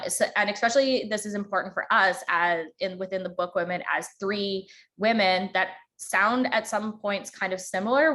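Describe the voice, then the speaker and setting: Woman podcast host, slight central Alberta accent, quick informal workshop delivery, lots of ums. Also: mid-range voice, fairly bright tone. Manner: fast talker, many ums. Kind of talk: informal teaching talk.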